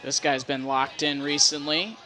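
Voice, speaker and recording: Bright-voiced male baseball color commentator. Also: a little high, fairly even intonation, measured pace, a little hiss or hum. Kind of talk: live sports analysis.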